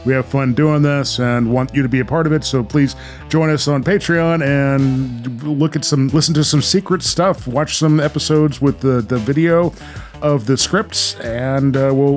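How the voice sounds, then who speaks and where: A man hosting a podcast, chatting casually in a jokey, self-aware and rambling way. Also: mid-range voice. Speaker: podcast host.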